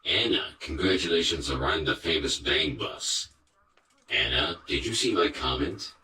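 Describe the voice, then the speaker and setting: A man's voice reading crude chat messages aloud in a flat, slow monotone. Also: rumbling low. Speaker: clip of fan comments read aloud. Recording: echoey, distant, faint background noise.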